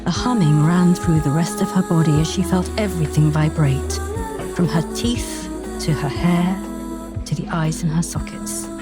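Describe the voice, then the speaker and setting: A woman's voice: deep voice, moderate pace, loud music behind the voice. A woman narrating a scripted audiobook story.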